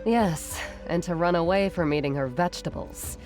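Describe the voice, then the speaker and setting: Lady voicing a caring older-sister type craftswoman in a game, lowish soft voice, modest, music behind. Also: normal speed, natural voice. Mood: apologetic, tender. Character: gentle, caring retired artisan woman, modest. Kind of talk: video game dialogue.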